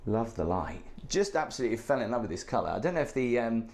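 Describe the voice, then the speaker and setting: A man with a croaky, hoarse voice from the flu, giving an enthusiastic, chatty tour of a hotel room. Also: mid-range voice, big pitch swings, quick pace, a little mellow. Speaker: hotel reviewer.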